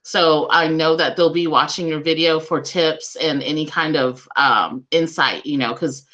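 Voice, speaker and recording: Casting: female host for a casual music interview, lower-pitched, forward bright tone, encouraging. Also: steady tone, a little slow. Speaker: radio show host.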